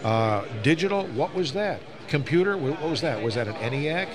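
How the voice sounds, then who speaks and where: An older man from Northeast Ohio reminiscing and explaining casually on a radio show, sometimes halting and repeating words for emphasis. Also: fairly high pitch, expressive. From Northeast Ohio, United States. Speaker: ham radio veteran on a talk show. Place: live broadcast from a busy ham radio convention. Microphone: broadcast microphone. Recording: crowded convention floor, busy background chatter.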